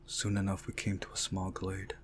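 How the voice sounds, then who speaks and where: A man's voice: sits low, completely flat delivery, moderate pace, a little hiss or hum. A man reading a visual novel story aloud as narration, sometimes going back over a phrase. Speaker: visual novel player narrating and voicing the game's text.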